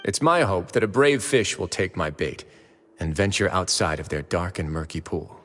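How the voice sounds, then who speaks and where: An actor playing a composed, polite man in charge, speaking in a measured, formal way. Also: low voice, expressive, a bit bright, big-room sound, soft background music. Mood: calm. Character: composed male warden, calm and courteous.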